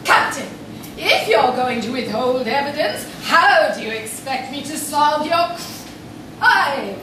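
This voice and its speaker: A woman's voice: sits high, sing-song, slow, bright, forceful tone, heavily acted. A woman playing a nosy, haughty rich society wife turned amateur detective in a staged comic radio play. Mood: haughty, insistent. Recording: echoey room, distant mic, clear noise.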